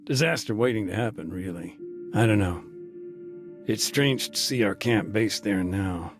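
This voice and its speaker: An actor playing a thoughtful aid worker in a war zone, talking on the phone from a car in a relaxed, hesitant way. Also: male voice, on the low side, a little slow, music in the background. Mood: calm. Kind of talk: video game cutscene dialogue. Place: moving vehicle. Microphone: phone call.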